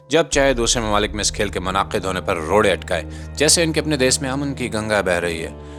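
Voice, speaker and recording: A man's voice: on the low side, a bit bright. A man with a Pakistani accent delivering scripted satirical commentary in a sarcastic, rhetorical manner. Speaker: satirical commentator, channel host. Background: music.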